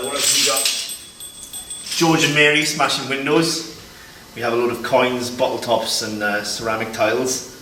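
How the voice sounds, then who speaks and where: A man with a mid-pitched voice gives an informal, explanatory tour of sound props. He pauses as he shows each thing. He is recorded some way from the mic in an echoey room, with loud noise and clatter around him. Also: distant mic. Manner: explanatory, pausing to show each item. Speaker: Foley artist.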